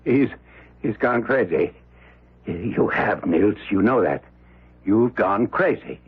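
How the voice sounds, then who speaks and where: An actor playing a domineering, brash old American millionaire who blusters in slang, in a radio drama. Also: male voice, lowish voice, lively intonation, slow pace, breathy edge, heavily acted. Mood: scornful. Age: older. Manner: blustering, slangy, repeating himself. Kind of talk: 1970s radio drama acting. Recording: muffled old radio broadcast, music behind.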